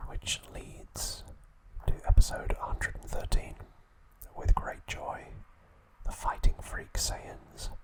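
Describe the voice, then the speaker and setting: A man whispers throughout in an ASMR style, hushed and unvoiced. He narrates like a trivia host, taking his time and phrasing each piece deliberately, with pauses in between. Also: rough recording.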